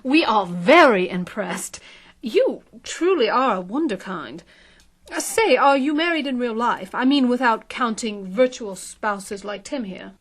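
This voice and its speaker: An actress playing a polite, gushing book-show interviewer in a satirical audio drama. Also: female voice, on the high side, lively intonation, a little slow.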